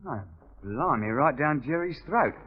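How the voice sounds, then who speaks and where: An actor playing a blunt, incredulous army corporal with a working-class British accent in a 1950s radio drama. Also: male voice, fairly high pitch, expressive, unhurried, slightly rough. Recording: tinny, narrow 1950s radio recording.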